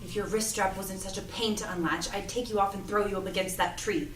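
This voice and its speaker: A woman's voice: mid-range voice, fairly even intonation, fairly quick, lightly acted. A woman acting a feisty, sarcastic and protective young woman in an audio drama read-through, with an exasperated, bossy manner. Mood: irritated. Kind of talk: acted reading of an audio drama script. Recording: roomy, distant mic, music underneath.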